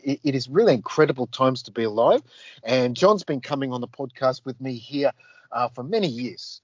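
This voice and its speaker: A man's voice: mid-range voice, no echo. A man hosting a podcast in a rambling, casual way, full of fillers and restarts. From Australia. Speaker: podcast host. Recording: dull lo-fi dry sound.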